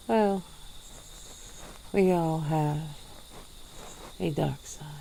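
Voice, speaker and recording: A woman voicing a centuries-old female vampire in an ASMR roleplay, speaking in a slow, drawn-out, purring way. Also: vocal fry, a little hiss or hum, full character voice, low-quality recording. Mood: languid. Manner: slow, low, drawn-out purring delivery with soft laughs.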